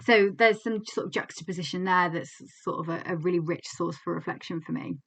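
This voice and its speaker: A woman's voice: fairly low pitch, fairly quick, fairly bright tone. An English woman in her twenties, a clinical psychologist, giving a candid, reflective teaching talk to camera with frequent fillers and restarts. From England.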